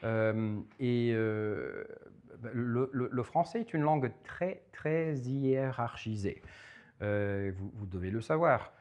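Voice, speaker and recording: A man delivers a public lecture at an ordinary mid pitch. He talks with frequent fillers, restarting his phrases and repeating words as he searches for them. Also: takes it slowly, darkish tone, highs cut off. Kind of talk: public lecture with audience questions.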